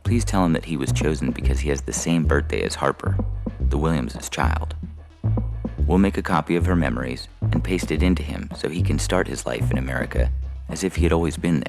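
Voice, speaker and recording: Guy playing a slick cold-hearted sales guy in an audio story, super deep n gravelly. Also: a little mellow. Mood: breezy, reassuring. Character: slick, callous salesman at an adoption agency. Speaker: voice actor reading a fiction podcast story. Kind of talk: dramatized short story reading. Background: music under the voice.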